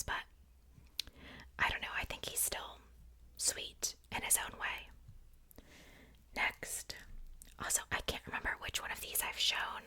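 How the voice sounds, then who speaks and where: A young adult woman hosting ASMR, whispering casually in a rambling, informal show-and-tell with self-joking asides. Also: pushed and bright. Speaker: ASMR video host.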